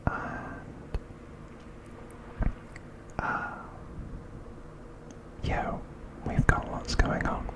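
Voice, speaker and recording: Man, ASMR host, whispered voice, hushed and deliberate, extremely unhurried pace. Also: poor audio. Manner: hushed, slow, deliberate delivery.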